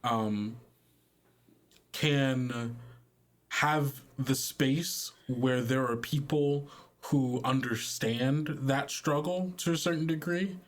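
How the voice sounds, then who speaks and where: Man, medium pitch, game master, tabletop narration, conversational, halting phrasing.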